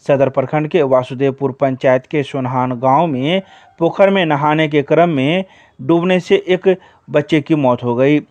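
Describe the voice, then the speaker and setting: A man's voice: a little high, unhurried, a little mellow, no room sound. Man reading local news, dry sound. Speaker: news reader. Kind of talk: scripted local news narration.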